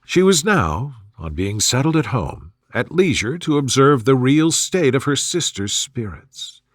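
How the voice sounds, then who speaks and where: A man's voice: mid-range voice, very animated, unhurried, dry recording, clean studio sound. A man narrating a classic story as a soothing, scripted bedtime reading. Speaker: audiobook narrator.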